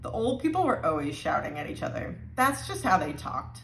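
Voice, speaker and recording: A woman reading a children's storybook aloud with expressive narration. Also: deep voice, fairly quick, gravelly, slight background noise. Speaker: storybook reader. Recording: roomy, echoing indoor sound.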